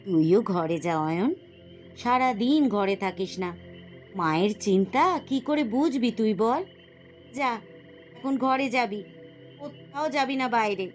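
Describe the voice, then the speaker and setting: A woman's voice: fairly high pitch, unhurried, long pauses, close to an everyday voice. A woman voicing a caring, coaxing middle-aged mother in a cartoon moral story. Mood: mildly scolding. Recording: music behind the voice.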